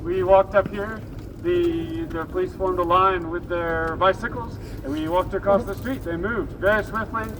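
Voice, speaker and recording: A man's voice: sits high, steady tone, normal speed. A man giving an urgent, off-the-cuff speech to a protest crowd, exhorting them. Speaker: protest speaker. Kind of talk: impromptu rally speech to a crowd. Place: outdoors on a city street. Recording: distant noisy outdoor recording.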